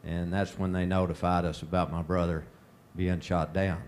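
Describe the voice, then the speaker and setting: A man with a Texan accent tells a personal memory at a family meeting in a clear storytelling way. His voice is very low, with faint noise behind. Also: flat delivery, normal speed, darkish tone. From Texas. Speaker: brother of a missing pilot. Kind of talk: personal recollection.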